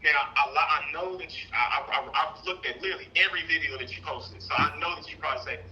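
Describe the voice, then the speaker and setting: A thirty-year-old man talking casually on a call, telling a personal story in a rambling, animated way. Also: a little high, quick pace, dull audio, a little hiss or hum. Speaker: caller seeking advice, trucking business owner.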